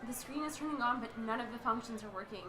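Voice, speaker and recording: A woman's voice: fairly high pitch, fast talker, noisy background, close to an everyday voice. A woman playing a scatterbrained young radio reporter in an amateur radio play, rambling and stammering as she goes. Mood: alarmed, confused. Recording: roomy, echoing sound.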